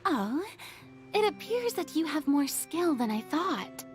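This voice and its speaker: A woman's voice: high-pitched, sing-song, measured pace, faint music behind. An actress playing a haughty, theatrical young female star in a video game, speaking in a pompous, self-important way. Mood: coy, self-important. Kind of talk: video game character acting.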